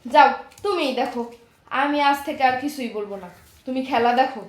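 Woman playing feisty high-voiced bickering housewife. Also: a little fast, lightly acted. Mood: sulky. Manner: argumentative, sarcastic. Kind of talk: amateur domestic comedy skit. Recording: roomy home echo.

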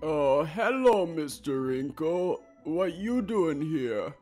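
Very slow, simple big dog. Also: male voice, on the high side, sing-song, a little mellow, soft background music. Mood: simple, happy. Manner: childlike broken grammar. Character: big, simple, friendly, slow-witted male Saint Bernard.

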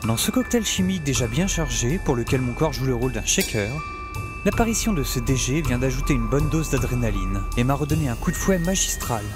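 An actor playing a wry, excitable young male survivor narrating his wasteland adventure in a wordy, theatrical, self-mocking way for an audio saga. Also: mid-range voice, fairly quick, natural voice. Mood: tense, wry. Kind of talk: dramatised first-person audio saga narration. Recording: loud music bed under the voice.

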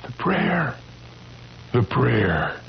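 An actor playing an anguished old husband in a 1970s radio drama, speaking in a halting, dramatic way on a muffled, hissy recording. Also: male voice, mid-range voice, big pitch swings, vocal fry, heavily acted. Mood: stricken, fervent. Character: anguished old man accused over his young wife's death.

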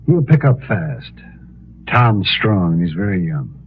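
An American actor playing a kindly, folksy frontier doctor in a 1950s radio western, musing and hesitant. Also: male voice, sits low, big pitch swings, unhurried. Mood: reassuring. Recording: tinny, noisy 1950s radio recording.

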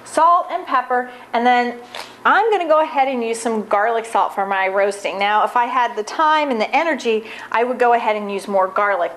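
A woman in her forties hosting a casual cooking demonstration in a large home kitchen, chatting upbeat while she preps food with her hands. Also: high voice. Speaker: cooking show host. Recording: audible background noise.

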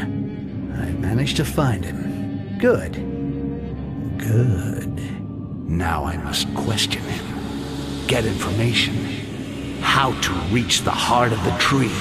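A gravelly goblin rogue from a fantasy video game. He speaks slowly and swings widely in pitch, sardonic and scheming, as if muttering to himself. Loud music plays behind him. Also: exaggerated voice. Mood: plotting. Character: cynical, foul-mouthed goblin rogue, bitter and scheming. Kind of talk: dramatic video game acting.

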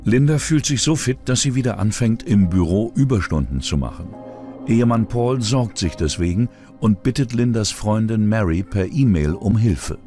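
Low-pitched, animated male documentary narrator. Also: moderate pace, faint music behind. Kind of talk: scripted true-crime narration.

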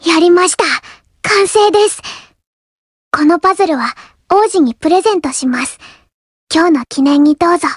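A woman voicing a shy, polite, earnest young girl from a coffee house in an anime mobile game, soft-spoken and reserved. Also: extremely high pitch, normal speed, pauses often, no room sound, clean studio sound. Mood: gently pleased. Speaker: game character voice actor. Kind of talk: scripted anime mobile game character lines.

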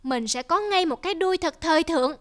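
A woman's voice: extremely high pitch, animated, fast, fairly bright tone. A woman voicing a vain, eager young squirrel in a children's cartoon, exclaiming in a boastful, childlike way.

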